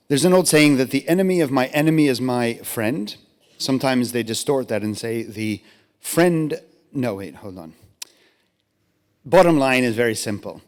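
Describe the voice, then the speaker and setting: A man's voice: medium pitch, darkish tone. A man in the audience asking the panel a question, conversational and correcting himself mid-sentence. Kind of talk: question from the floor. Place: large conference hall. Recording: long hall reverb.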